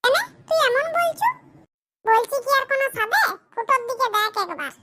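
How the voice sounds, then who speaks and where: Cheeky young villager for cartoon comedy, extremely high childlike voice, teasing and scolding, noisy track. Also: big pitch swings, moderate pace, sharp tone, heavily acted. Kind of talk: comic cartoon dialogue in village dialect.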